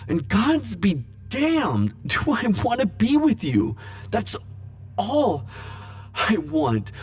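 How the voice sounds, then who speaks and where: A man playing a lovesick, world-weary immortal in an intimate romantic audio roleplay, speaking haltingly and emotionally. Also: high-pitched, wildly swooping pitch, exaggerated voice. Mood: anguished, yearning. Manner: halting, emotional, stammering confessions with soft wry asides.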